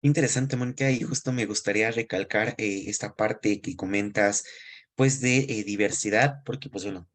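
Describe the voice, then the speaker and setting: Low-pitched male interviewer, halting. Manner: hesitant, halting phrasing. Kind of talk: conversational interview hosting.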